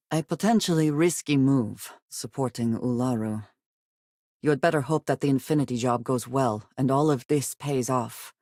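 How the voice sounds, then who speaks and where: A guarded woman space explorer from a video game. Her speech is formal, serious and measured, with few contractions. The recording is close and very dry. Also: very deep voice, gravelly, dark tone, breathy edge, dry recording, exaggerated voice. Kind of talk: scripted video game companion dialogue.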